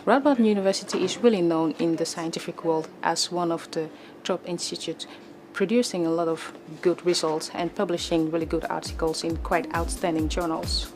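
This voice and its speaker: A young woman from Cameroon, a PhD student, speaking in a deliberate, formal way in an interview. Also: fairly low pitch, steady tone, a little mellow, soft background music. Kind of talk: interview testimonial.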